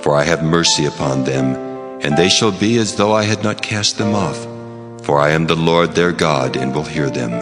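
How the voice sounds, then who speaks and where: An actor playing God as a solemn, commanding deity in a dramatized scripture reading, with measured declarations. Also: male voice, low-pitched. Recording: loud music under the voice.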